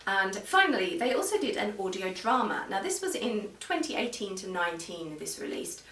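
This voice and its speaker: A woman giving an informal, measured review straight to viewers, explaining things as she goes. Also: medium pitch, big pitch swings, sharp tone. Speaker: video reviewer. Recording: roomy echo, distant microphone.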